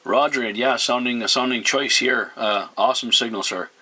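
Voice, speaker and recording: A man chatting casually as a radio operator, speaking in a polite, deliberate way. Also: mid-range voice, pushed and bright, a little hiss or hum. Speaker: radio operator, video maker.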